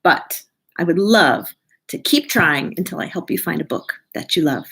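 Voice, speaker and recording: A woman reading a picture book aloud to children in an expressive, deliberate way, as a children's librarian. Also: on the low side, creaky, husky. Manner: animated, drawn-out, deliberate delivery.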